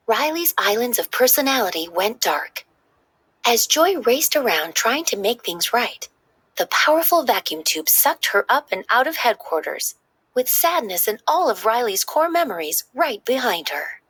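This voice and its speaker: Husky, expressive woman reading a storybook. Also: a little high, unhurried, no echo. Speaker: children's story reader. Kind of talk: expressive scripted read-aloud narration.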